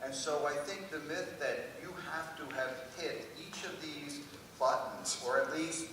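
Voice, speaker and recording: A man's voice: fairly high pitch, unhurried. A man speaking informally as an admissions officer in a panel discussion, in a conversational, anecdotal way. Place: large room at a public panel event. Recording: echoey hall from far off, noisy.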